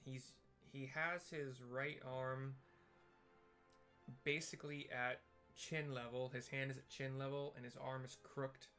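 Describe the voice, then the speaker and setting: A man giving improvised audio description of a game scene, halting and searching for plain comparisons as he goes. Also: mid-range voice, little pitch movement, bright, forceful tone. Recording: game music behind the voice. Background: game music.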